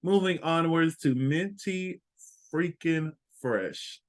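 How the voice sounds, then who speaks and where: A sassy, playful man with a Texan accent, co-hosting a casual review chat. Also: on the high side. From Texas. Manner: sassy, playful, drawn-out emphasis. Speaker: co-host.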